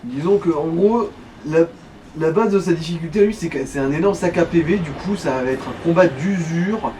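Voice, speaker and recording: A man's voice: a little high, normal speed, far from the mic, background noise. A man giving casual commentary as he watches someone else play a video game.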